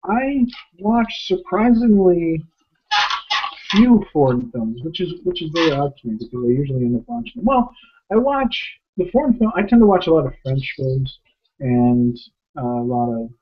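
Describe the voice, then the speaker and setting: A man's voice: fairly high pitch, big pitch swings, normal speed, slight background noise. An American man from upstate New York co-hosting a movie review in a casual, conversational way. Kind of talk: casual film discussion. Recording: dull lo-fi recording.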